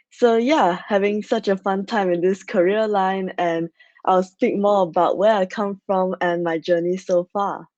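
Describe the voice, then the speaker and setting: A young woman from Malaysia presents at an online conference in a loose, chatty way, leaning on "so yeah" and "like". Her voice sits a little low. The audio is dry and a bit muffled, as from a computer mic on a video call. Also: darkish tone, no room sound. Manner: chatty, many fillers like "like" and "so yeah". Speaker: conference speaker.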